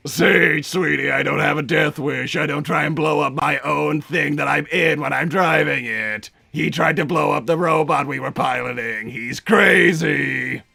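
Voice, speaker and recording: A man playing a pompous, irritable cartoon villain scientist and doting father, raspy and theatrical, bantering in character on a livestream. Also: on the high side, a little slow, few pauses, sharp tone, soft background music, heavily acted. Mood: exasperated. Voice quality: raspy, gravelly. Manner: theatrical, blustering, stammers when flustered. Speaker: livestream host reading donations.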